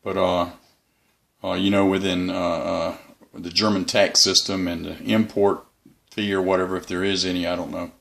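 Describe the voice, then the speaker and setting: A man giving a casual, unscripted hobby review as the channel's host, in a halting, rambling way. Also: deep voice, flat delivery, gravelly, fairly bright tone.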